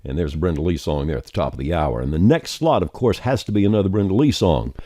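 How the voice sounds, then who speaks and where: A low-voiced man walks through a tutorial in a folksy, conversational style. His delivery rises and falls with plenty of variety. The recording is dry and close, with no room sound. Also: expressive, quick pace, dark tone, no echo. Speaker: software tutorial presenter. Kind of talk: scripted tutorial narration, explaining steps on screen.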